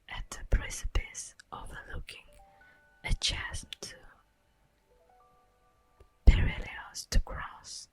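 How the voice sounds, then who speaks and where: A woman playing a solemn, tender elf guardian of the forest in a breathy, whispered ASMR roleplay, speaking formally and poetically. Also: close to an everyday voice, low-quality recording. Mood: solemn, grave. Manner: slow, formal, poetic, drawn-out delivery.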